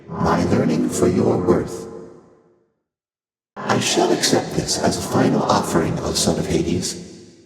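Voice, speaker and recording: An ancient primordial god from a video game, with a very low, stately voice. The delivery is detached, curious and formally archaic. It resounds with otherworldly, cavernous reverb over loud music. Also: male voice. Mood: formal, considering. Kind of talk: video game voice acting, measured formal monologue.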